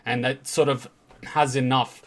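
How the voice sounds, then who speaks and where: A man's voice: mid-range voice, flat delivery, a little slow, a bit bright. A man hosting a game story reaction, commenting casually and irreverently, repeating words for emphasis.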